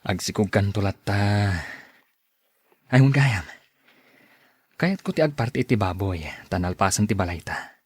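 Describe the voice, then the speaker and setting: A man's voice: lowish voice, lively intonation, measured pace, long pauses, darkish tone, breathy edge, dry recording. A man acting a devoted, generous young husband, eager to please, in an Ilocano-accented radio drama. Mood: proud, eager.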